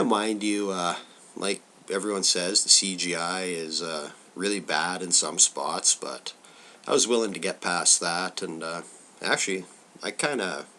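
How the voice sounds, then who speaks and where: A man's voice: sits low, bright, forceful tone, slight background noise. A man with a cold and a stuffy nose, giving a loose, rambling film review full of filler words.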